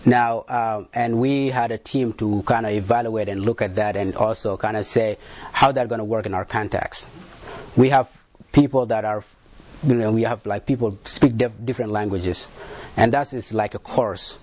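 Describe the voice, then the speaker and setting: Halting, low-voiced man on a panel. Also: steady tone, darkish tone. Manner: halting, repeats words and restarts. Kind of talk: panel discussion.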